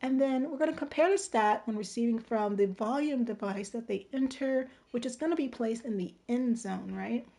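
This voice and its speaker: A woman hosting a casual livestream tutorial with a slightly hoarse, strained voice, explaining step by step and checking in as she goes. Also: on the high side, normal speed, few pauses, warm tone. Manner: informal, step-by-step explaining, checking in with "right?" and "okay?". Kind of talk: casual game-design tutorial.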